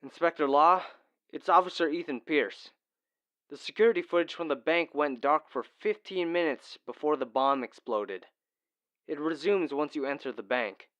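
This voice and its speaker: A man's voice: a little high, moderate pace, fairly bright tone, dry recording. A man acting as a deferential police officer reporting over a phone in an audio crime drama. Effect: phone call filter. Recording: dull, dry phone-call sound.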